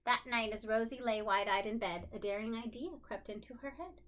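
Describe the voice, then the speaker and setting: A woman teacher reading a children's picture book aloud expressively, with deliberate dramatic phrasing and stretched key words. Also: fairly high pitch, a bit bright, far from the mic, a little hiss or hum.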